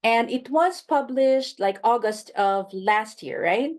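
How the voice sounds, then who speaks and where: A woman's voice: fairly high pitch, animated, slow pace. A woman hosting a book interview show, introducing her guest and asking casual, friendly questions with a few "um" and "like" fillers. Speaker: host.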